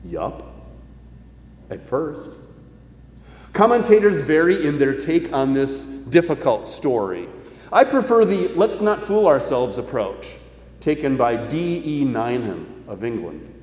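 A man preaching a sermon in a deliberate, emphatic way, with rhetorical phrasing. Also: mid-range voice, sing-song, a little mellow. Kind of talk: sermon, partly reading quoted news aloud. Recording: echoey church hall, slightly dull sound.

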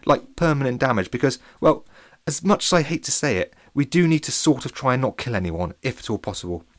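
A man's voice: medium pitch, expressive, fairly quick, dry recording, clean studio sound, voice pushed up, close to an everyday voice. A man voicing an earnest, easily flustered schoolboy leader in a children's story. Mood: worried, hesitant.

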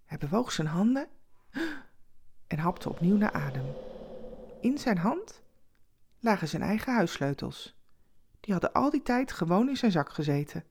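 A woman's voice: medium pitch, darkish tone. A woman storyteller reading a scripted Christmas tale as dramatic narration.

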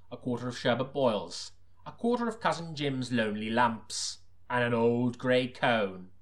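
A man reading scripted first-person detective narration as an audiobook, deadpan and self-serious like a noir parody. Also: mid-range voice.

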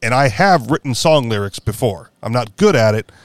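Man, podcast host, rambling, wry, self-correcting, mid pitch, dry sound. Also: expressive, normal speed, no echo. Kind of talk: casual political chat.

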